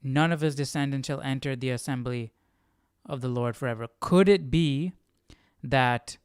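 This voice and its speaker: A man's voice: medium pitch, normal speed, smooth, dry recording. Casual man thinking aloud, clean close sound. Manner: questioning, repeats and rephrases as he reasons. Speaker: livestream Bible study host.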